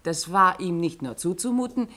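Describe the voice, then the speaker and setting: A low-pitched, stern chief prosecutor in a radio play. She is incisive and insistent, building her arguments with precision. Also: normal speed, pushed and bright. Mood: sharp, indignant. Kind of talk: German radio play acting.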